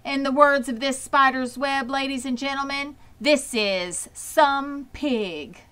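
Storybook fairground announcer as a woman plays it: high, loud, projected delivery, full of pomp and ceremony. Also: slow, heavily acted. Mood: grandiose, proud. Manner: pompous, declamatory, projected. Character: pompous fairground loudspeaker announcer, booming and grandiose. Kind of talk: children's storybook character voice.

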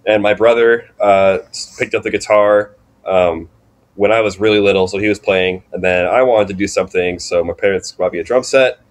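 Young male musician, lowish voice, informal halting storyteller, interview guest. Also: fairly even intonation. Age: young adult. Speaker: band drummer, interview guest. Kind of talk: casual chat, storytelling.

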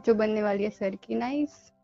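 A woman's voice: mid-range voice, moderate pace, creaky, close to an everyday voice. A young woman playing a jealous, defensive best friend with snappy, sulky denials in a phone-call drama. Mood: hurt, sulky. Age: young adult. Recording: muffled lo-fi audio under music.

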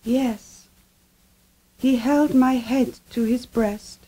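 Young victorian wife, cursed, dignified, high slow voice, radio drama. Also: female voice, steady tone, warm tone, low-quality recording. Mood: resolute. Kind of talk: 1970s radio drama acting.

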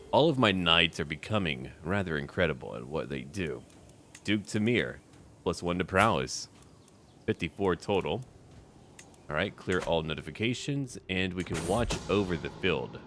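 A man's voice: low voice, a bit bright, a little hiss or hum. A man giving casual, offhand commentary as he plays a game, often addressing the characters aloud. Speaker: gaming video host.

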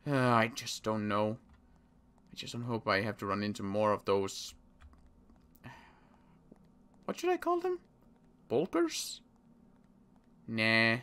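A man playing a worried zombie apocalypse survivor in an improvised game roleplay, muttering to himself and repeating words haltingly. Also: fairly low pitch, big pitch swings, a bit bright, smooth.

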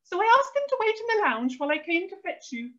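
A woman voicing an excitable, bossy, royal-mad mum from a children's storybook, with a squeaky voice and a frantic, scolding manner. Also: normal speed, highs cut off. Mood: excited. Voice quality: squeaky, shrill.

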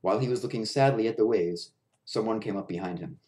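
A man's voice: on the low side, quick pace, warm tone. A man in his thirties reading a children's story aloud in a small room at home. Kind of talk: children's picture-book read-aloud. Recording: roomy echo of a bare room.

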